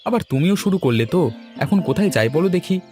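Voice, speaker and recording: A man's voice: a little high, animated, fairly quick, mellow, soft background music, close to an everyday voice. A man voicing a reluctant, easygoing young village bachelor in a cartoon. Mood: exasperated. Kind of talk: Bengali cartoon dialogue.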